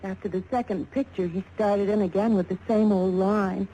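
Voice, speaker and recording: Woman playing a mellow-voiced American fashion model. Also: medium pitch, steady tone, moderate pace, lightly acted. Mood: scornful. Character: shaken but tough young female fashion model. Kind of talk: 1950s radio drama acting. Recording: noisy vintage radio recording.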